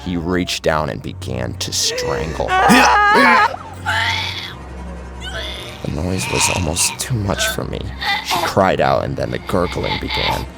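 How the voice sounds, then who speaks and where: A man's voice: sits low, wildly swooping pitch, takes it slowly, pauses often. A man narrating a first-person horror story in an intense, theatrical, scripted style. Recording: clear music bed under narration.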